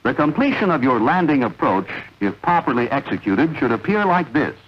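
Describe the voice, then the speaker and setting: Husky male training-film narrator, lo-fi. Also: medium pitch, big pitch swings. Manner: measured, authoritative, didactic. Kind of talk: scripted instructional narration, vintage military training film. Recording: dull, lo-fi vintage film soundtrack.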